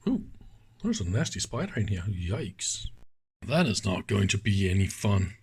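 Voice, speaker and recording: A man's voice: fairly low pitch, very animated, measured pace, breathy edge. A man giving casual hands-on commentary at an indoor workbench, thinking aloud informally with small exclamations. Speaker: host of electronics teardown videos.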